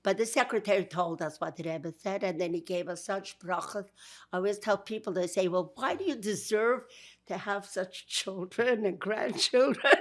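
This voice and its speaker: An older woman from Georgia with a Brooklyn accent, recalling her family's past in an interview. She speaks warmly, often laughs, and restarts her sentences. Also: mid-range voice. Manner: warm, with frequent laughs and restarts. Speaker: guest. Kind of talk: interview, memories.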